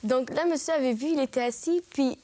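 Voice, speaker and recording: A woman's voice: high voice, brisk, warm tone, dry recording. A teenage girl with a French accent, a student actress telling a rambling story with many self-corrections. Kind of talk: documentary interview.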